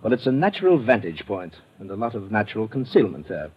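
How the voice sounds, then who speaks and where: An actor playing a brisk British colonial police lieutenant with a stiff upper lip in a radio drama. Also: male voice, lowish voice, big pitch swings, slightly rough. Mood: brisk briefing. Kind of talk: old-time radio drama acting. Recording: dull old radio recording.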